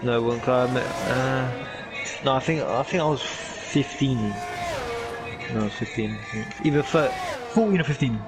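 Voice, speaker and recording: A man's voice: mid-range voice, normal speed. A young man, bantering and swearing casually with a friend while gaming. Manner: rambling, swears freely, teasing. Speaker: streamer. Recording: loud music playing behind.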